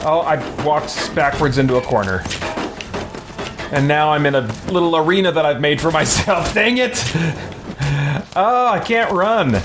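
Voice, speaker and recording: A man's voice: fairly high pitch, moderate pace, background noise. A man giving casual gameplay commentary, thinking aloud to himself with mild folksy exclamations. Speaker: let's play host, gamer.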